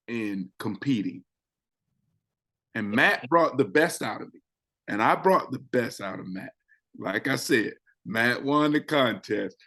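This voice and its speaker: A man who is a public speaking coach and co-host, chatting on a talk show and repeating phrases for emphasis. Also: mid-range voice. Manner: animated, swings loud and soft for effect. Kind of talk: casual show chat.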